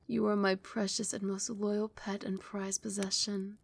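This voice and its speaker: A woman playing a regal, possessive yet tender vampire queen in an ASMR roleplay, speaking softly and soothingly and often slipping into breathy whispers. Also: mid-range voice, flat delivery, a little slow, slight background noise. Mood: tender, concerned.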